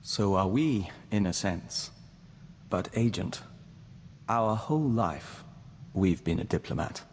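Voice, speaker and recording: A man's voice: deep voice, expressive, slow pace, pauses often, full character voice. A man playing a serene diplomat joined to an insect hive mind in a game, speaking formally and with measure, calling himself "we.". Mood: earnest, thoughtful. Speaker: game companion character. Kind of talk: scripted game dialogue acting. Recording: reverberant hall, clear background noise.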